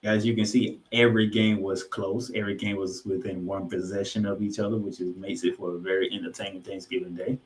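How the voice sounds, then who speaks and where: An American man hosting a football recap, announcing names and stats in an emphatic, drawn-out way. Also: low-pitched, flat delivery. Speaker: sports podcast host. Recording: roomy, echoing sound.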